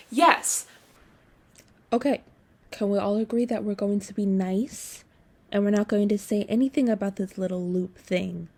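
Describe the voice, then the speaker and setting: Young woman chatting casually, animated asides. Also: medium pitch. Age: young adult, late teens. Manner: self-deprecating, rambling, animated asides. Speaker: book vlogger. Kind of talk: casual chat to camera.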